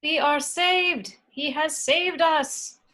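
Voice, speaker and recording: An eager and helpful science-fiction supporting character, acted by a woman. The voice is extremely high, in an amateur reading of a screenplay. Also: steady tone, slow, sharp tone, exaggerated voice. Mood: grateful, cheering. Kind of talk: amateur screenplay table read.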